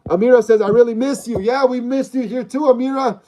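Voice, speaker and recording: A man in his thirties hosting a casual livestream, reading out viewer comments and chatting in a relaxed, repetitive way with slang. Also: very high-pitched, brisk. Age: 30s. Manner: chatty and repetitive, uses slang like bro and dog. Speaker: livestream host.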